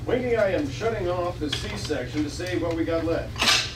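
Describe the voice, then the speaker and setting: A man playing a brisk, steady spaceship commander in an amateur table read of a retro space serial. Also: a little high, natural voice. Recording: noisy echoey room, distant microphone.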